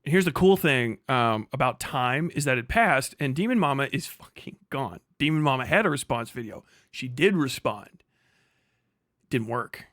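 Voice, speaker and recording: A man's voice: mid-range voice, animated, normal speed, a bit bright, no room sound, studio quality. A man doing casual, profane reaction commentary as a streamer, exasperated and emphatic, rambling as he argues.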